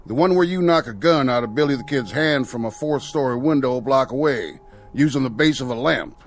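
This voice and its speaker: A man's voice: medium pitch, expressive, a little fast, close to an everyday voice. A folksy cowboy outlaw acted by a man drawls, amiable but slyly in charge. His mid-range voice swings freely up and down, as in a radio play, with faint music underneath. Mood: scheming, amiable. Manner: folksy, colloquial cowboy talk. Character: folksy, sly Old West outlaw, cheerfully in charge. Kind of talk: radio drama acting.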